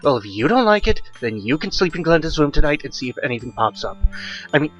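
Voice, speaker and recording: Man voicing a raised-pitch, protective mother. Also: very animated, fast talker, muffled recording, faint music behind. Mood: scolding. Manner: sharp with husband, soothing to child. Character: protective, scolding mother, fierce yet tender.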